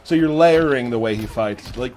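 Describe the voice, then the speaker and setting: A man's voice: mid-range voice, moderate pace, a little mellow. Man commenting casually, faint music behind.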